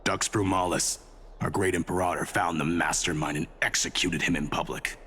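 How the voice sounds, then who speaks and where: A man's voice: low-pitched, steady tone, normal speed, sharp tone, slight background noise. A man playing a haughty warlord devoted to his empress in a video game, pompous and theatrical. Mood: proud, scornful.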